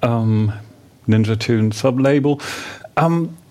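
A man's voice: mid-range voice, takes it slowly, warm tone. German-speaking male radio host. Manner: hesitant questions with many "uh"s. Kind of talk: radio interview.

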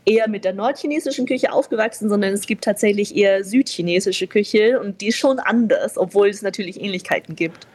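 A woman's voice: mid-range voice, a little fast, few pauses, a little mellow. Female interview guest, native Mandarin speaker from Beijing and Vienna. Middle register, casual explanatory delivery. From born in Beijing, grew up in Vienna from age seven. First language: Mandarin Chinese. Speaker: interview guest, food tour guide.

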